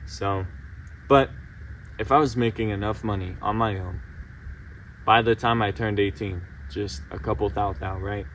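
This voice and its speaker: A man's voice: fairly low pitch, fairly even intonation, a little slow, long pauses, a bit bright, a little hiss or hum. Frustrated teenage guy venting, distant mic. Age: late teens. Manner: frustrated, heated and insistent, repeating himself. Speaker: vlogger. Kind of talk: emotional personal vent to camera.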